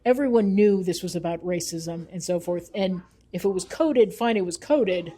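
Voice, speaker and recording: A woman's voice: fairly low pitch, expressive, normal speed, dark tone. A woman speaking as an interview guest and researcher, earnest and emphatic, repeating phrases for weight. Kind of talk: thoughtful spoken interview.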